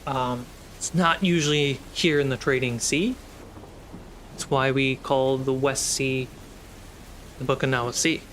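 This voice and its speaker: A man working as game master, narrating a tabletop fantasy game with deliberate, dramatic storytelling pauses. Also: medium pitch, steady tone, a bit bright, a little hiss or hum. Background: storm and ship sound effects.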